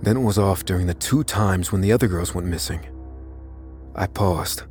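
A male actor plays an unassuming, anxious man telling his own thriller story. The voice sits fairly low and moves in measured, confessional phrases with deliberate pauses. Music plays faintly underneath. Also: darkish tone, close to an everyday voice. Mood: tense, measured. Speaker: narrator. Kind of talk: first-person dramatic narration in an audio thriller.

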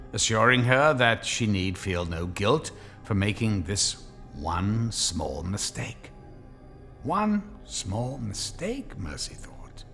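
A man's voice: on the low side, bright, forceful tone. A man narrating a scripted dramatic story as the narrator of an audio drama.

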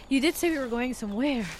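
A woman's voice: fairly high pitch, darkish tone, slight background noise, close to an everyday voice. A woman playing a reluctant city-bred hiker who teases her partner in casual, wry audio drama dialogue.

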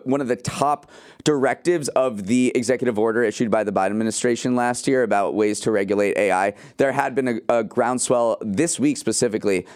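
A man's voice: mid-range voice, a little mellow, clean tone. Male news podcast co-host. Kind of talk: conversational news commentary.

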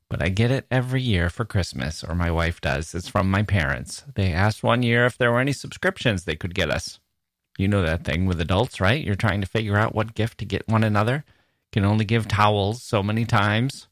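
A man's voice: lowish voice, no echo. A middle-aged American man hosting a podcast in a casual, chatty, wry monologue on a close microphone. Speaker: podcast host.

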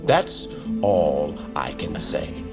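A man playing a scheming bird-folk master of a secret assassin order in a dramatic audio fiction, measured, conspiratorial and theatrical, with music under his voice. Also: extremely low pitch, sing-song, creaky, exaggerated voice. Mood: grave, conspiratorial.

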